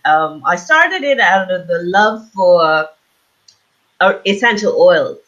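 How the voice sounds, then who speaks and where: A woman's voice: lowish voice, a bit bright. A woman from the Bahamas explaining her work as an interview guest on an online call. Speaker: interview guest, aromatherapy business owner and author. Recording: dull lo-fi online call audio.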